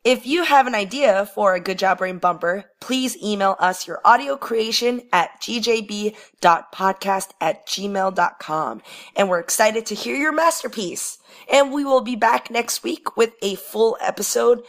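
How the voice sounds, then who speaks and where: A woman hosts a quiz podcast with a raspy, hoarse voice, as she is under the weather. She talks in a friendly, playful way at a medium pitch. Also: measured pace, fairly bright tone. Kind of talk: casual solo podcast announcement.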